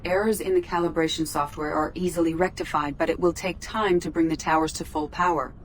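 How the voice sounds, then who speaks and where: A woman voicing a calm, polite starship artificial intelligence in a video game, speaking in a measured, precise, formal way. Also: low-pitched, a little hiss or hum, close to an everyday voice. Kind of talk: video game dialogue acting.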